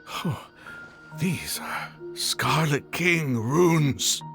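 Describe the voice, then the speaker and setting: Serious guarded desert fighter in a game, slow talker, faint music behind. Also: male voice, on the high side, expressive, creaky, fairly bright tone, full character voice. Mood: wary. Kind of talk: video game voice acting.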